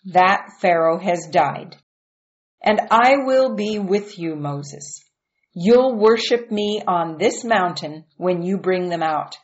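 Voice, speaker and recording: A calm, commanding divine voice gives firm, reassuring instructions, as a woman reader puts it on. The delivery is slow and measured, on a dull, muffled recording. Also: on the low side. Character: God, calm patient commanding voice.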